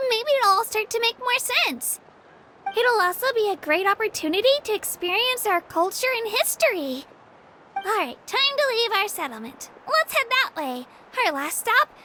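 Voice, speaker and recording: A woman's voice: very high-pitched, sharp tone, full character voice. A woman voicing a plucky, earnest young girl warrior in a video game, with a childlike voice and a polite, eager manner. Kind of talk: English video game voice acting. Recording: game music behind the voice. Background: game music.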